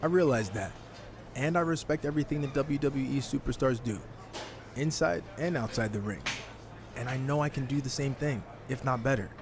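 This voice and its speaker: A man's voice: medium pitch, normal speed, background noise, lightly acted. A man playing a confident, wry American fighter turned rookie wrestler in a video game cutscene, with a dry manner. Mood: earnest, confident. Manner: self-assured, dry.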